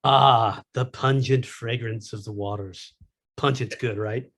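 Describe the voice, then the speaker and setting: A man's voice: medium pitch, steady tone, a little slow, fairly bright tone. A man reading a part theatrically at a table read of a fantasy script.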